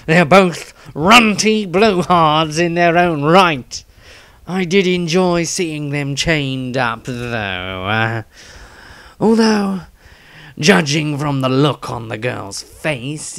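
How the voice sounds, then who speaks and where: A man voicing a gruff, scornful male adventurer in a fantasy audiobook, drawling slowly and threateningly. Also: a little high, a bit bright, no room sound, pitched above the performer's own voice, exaggerated voice.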